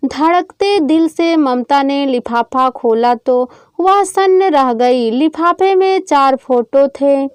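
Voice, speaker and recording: A woman's voice: sits high, slow pace, hardly any pauses, no room sound. A woman narrating a scripted story as a storyteller.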